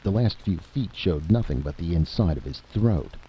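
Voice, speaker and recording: A man's voice: low voice, animated, moderate pace, dull audio, slight background noise. A man voicing a gloomy, wary ex-ambassador turned adventurer who complains bluntly in a story reading. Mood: worried.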